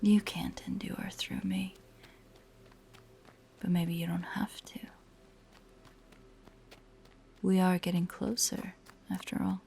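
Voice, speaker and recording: A woman playing a predatory, teasing spider-woman monster in a horror audio roleplay, with a breathy, whispery voice and a taunting, menacing manner. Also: lowish voice, flat delivery, darkish tone. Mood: taunting, hushed. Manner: slow, taunting, playfully sinister.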